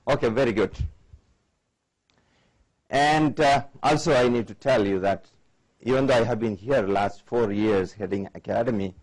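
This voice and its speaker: A man's voice: mid-range voice, moderate pace, long pauses, a little mellow, low-quality recording. A man from India in his forties with an Indian accent, a doctor giving a conference lecture and engaging the audience with questions. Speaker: Ayurvedic doctor, guest lecturer.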